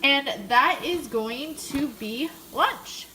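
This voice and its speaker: A woman's voice: high-pitched, expressive, slow, heavy background noise. A young American woman chatting casually to her viewers as a fitness vlogger, explaining things in an informal, chatty way. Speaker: fitness vlogger in bikini contest prep. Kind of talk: casual vlog chat to viewers.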